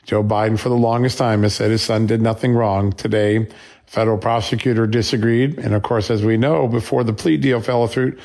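A man's voice: on the low side, fairly even intonation, fairly quick, vocal fry, a little mellow. A man hosting a political news commentary show, sounding slightly scratchy from a flu and making his points emphatically with short punchy questions.

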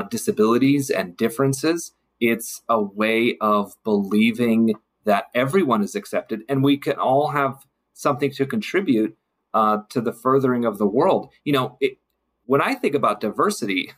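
Low, slightly husky man, thoughtful guest. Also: a bit bright. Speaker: podcast guest, city council member. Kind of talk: thoughtful podcast discussion.

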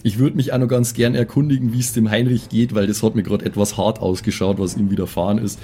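A man with a lowish, warm voice. He chats casually as a player in a tabletop game, easygoing and colloquial. Faint music plays behind him. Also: little pitch movement, quick pace. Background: background music.

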